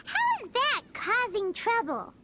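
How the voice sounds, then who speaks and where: A woman voicing a tiny, excitable childlike fairy companion in a video game, squeaky and exclamatory. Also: takes it slowly, very noisy, full character voice. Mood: curious. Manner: speaks of self in third person, exclamatory. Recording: game music behind the voice.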